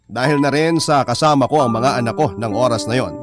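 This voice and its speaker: A man's voice: medium pitch, brisk, over music, natural voice. A man with a Filipino accent narrating a scripted first-person story.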